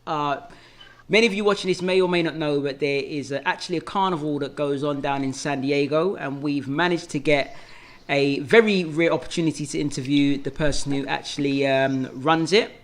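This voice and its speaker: A man's voice: on the high side, steady tone, a little fast. Laid-back male host with hesitant "um"s. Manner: laid-back, hesitant, frequent "um" and "yeah, man". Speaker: interview host. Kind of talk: casual interview.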